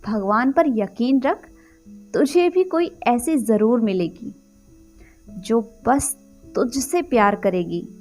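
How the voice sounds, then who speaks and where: An earnest young groom-to-be, voiced by a woman narrator, talks sweetly and devotedly to his friend in an audio story. The voice is high, with soft music behind. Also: lively intonation, takes it slowly, pauses often. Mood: happy, earnest.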